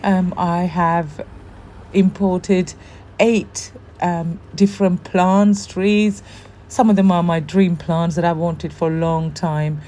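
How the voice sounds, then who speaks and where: A woman with a hoarse, worn voice from the flu, chatting casually and warmly as host of a gardening vlog, with frequent ums. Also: fairly low pitch. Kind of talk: casual show-and-tell vlog.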